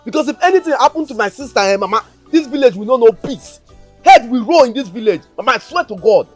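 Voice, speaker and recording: A man's voice: very high voice, expressive, quick pace. A young man with a Nigerian Pidgin accent, playing a hot-tempered village man who boasts and threatens to protect his sister. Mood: agitated. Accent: Nigerian Pidgin English. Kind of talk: Nollywood-style acting. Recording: music behind.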